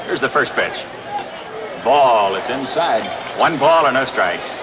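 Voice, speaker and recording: A man's voice: mid-range voice, animated, slow pace, a crowd in the background. An American man calling live baseball play-by-play in short clipped phrases on 1960s radio. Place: outdoor ballpark broadcast. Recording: very noisy 1960s radio broadcast recording.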